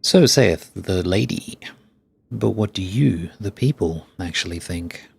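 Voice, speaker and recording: A man's voice: deep voice, lively intonation, measured pace, creaky, husky, full character voice. A man voicing a florid, formal fight show presenter from a video game, announcing with theatrical deliberation. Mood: grandiose. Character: grandiose, theatrical fight show presenter addressing combat fans. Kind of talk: scripted game dialogue read aloud. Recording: faint music behind.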